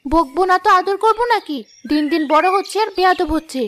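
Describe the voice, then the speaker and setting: A woman playing a hot-headed young village wife and mother in a cartoon drama, sharp and accusing, firing off rhetorical questions. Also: very high voice, fast. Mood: scolding. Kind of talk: Bengali cartoon drama acting.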